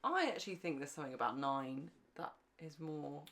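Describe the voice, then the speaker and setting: Lively, lower-pitched woman co-hosting a podcast. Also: normal speed, slight background noise. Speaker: podcast co-host. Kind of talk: casual fan chat about television.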